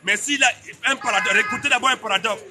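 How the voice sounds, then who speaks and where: A man's voice: very high-pitched, fast talker, loud music behind the voice. A man preaching in the open air on a city street, speaking French as a second language, emphatic and repetitive, challenging the passers-by. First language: another language, French spoken as second. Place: busy city street outdoors. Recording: street noise behind.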